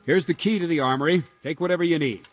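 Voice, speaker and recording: A man acting a brisk, kindly lord in a medieval video game. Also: a little high, soft background music, natural voice.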